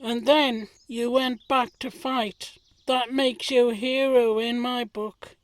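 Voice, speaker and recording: A woman's voice: a little high, fairly even intonation, slow pace, dry recording. A woman playing a sensitive, earnest young male gardener in an audio play. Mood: admiring.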